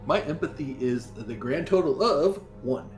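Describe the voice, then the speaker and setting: A man's voice: a little high, expressive, unhurried, soft background music. A man chatting casually and eagerly as a player at a tabletop roleplaying game.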